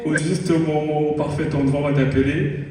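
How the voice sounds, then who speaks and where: Brief: young Congolese stand-up comic with a Congolese French accent, high-pitched and teasing, heard from a distance in a big hall. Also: male voice, flat delivery, normal speed, noisy background. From Republic of the Congo. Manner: playful, teasing the audience, mimics voices. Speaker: stand-up comedian. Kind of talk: live stand-up routine. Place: large hall on stage. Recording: echoey hall mic from far off.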